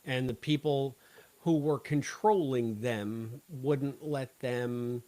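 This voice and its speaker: A man's voice: mid-range voice, takes it slowly, warm tone, poor audio. Blunt man chatting as podcast co-host. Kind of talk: casual chat, episode discussion.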